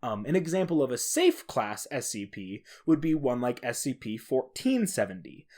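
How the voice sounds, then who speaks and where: A man's voice: medium pitch, big pitch swings, moderate pace, a little mellow. A man hosting a podcast alone, explaining things in a casual, informal, conversational way. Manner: informal, conversational, with occasional swearing. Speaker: podcast host. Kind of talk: casual solo explainer on horror fiction.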